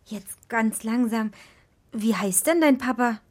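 Bungling, blunt forest fairy, highish voice. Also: female voice, takes it slowly, a little mellow. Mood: probing. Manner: dry, matter-of-fact, theatrical when casting spells. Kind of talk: children's radio play acting.

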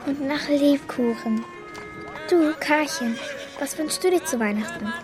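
Young orphan girl, high pitch, spirited, determined, children's radio play, music behind. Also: a little slow. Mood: curious.

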